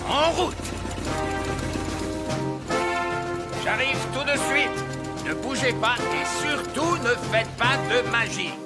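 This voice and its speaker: An actor voicing a grumpy, self-important old elf in a French cartoon dub, pompous and exasperated. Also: male voice, high-pitched. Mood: bold, commanding. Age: older. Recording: music behind the voice.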